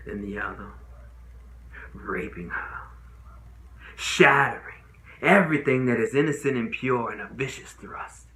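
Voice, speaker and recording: Young male trial lawyer, played by a man, courtroom monologue. Earnest and pleading, emphatic, with a sharp edge and very lively intonation. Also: medium pitch. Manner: deliberate, emphatic, with dramatic pauses. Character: young male Southern trial lawyer giving an impassioned, pleading closing argument. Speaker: amateur actor. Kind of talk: dramatic monologue, courtroom closing argument.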